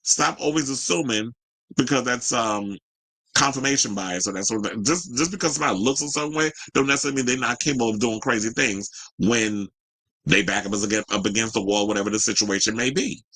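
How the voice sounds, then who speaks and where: A man's voice: mid-range voice, fast talker, sharp tone, no echo, low-quality recording. A man hosting casual gossip commentary, chatty and candid, with a sassy, colloquial way of talking to his audience. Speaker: host of a commentary show.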